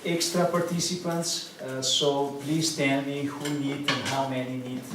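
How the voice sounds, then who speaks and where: A man's voice: medium pitch, flat delivery, unhurried. A man, a native Slovenian speaker, organizing a seminar and making announcements, businesslike with hesitant "uh"s in English. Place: seminar room. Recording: very echoey room, far from mic, loud noise.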